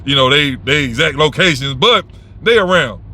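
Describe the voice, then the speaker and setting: A man's voice: on the high side, expressive, moderate pace, bright, forceful tone. A man giving advice in a casual, unscripted monologue, blunt and rambling, swearing freely and restarting his sentences.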